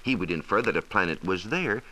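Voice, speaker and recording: An American man explaining science in a measured, precise, didactic way, in a scripted 1940s radio style. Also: deep voice, expressive, bright, forceful tone, poor audio. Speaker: science reporter explaining astronomy. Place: radio studio. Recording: hissy 1940s radio transcription disc.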